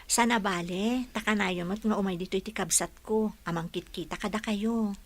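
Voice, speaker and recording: A woman's voice: mid-range voice, steady tone, normal speed, close to an everyday voice. A woman playing a caring daughter who reassures her ailing mother in an Ilocano radio drama. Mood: reassuring.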